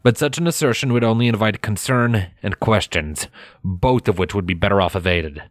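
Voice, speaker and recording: A man reading scripted fiction as a narrator, measured and expressive in his storytelling. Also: on the low side, dry recording, clean studio sound. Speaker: narrator of a fan fiction audiobook. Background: music.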